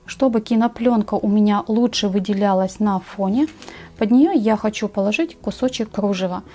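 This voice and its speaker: A woman narrating a step-by-step craft tutorial in a measured, explanatory way. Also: mid-range voice, dark tone, soft background music.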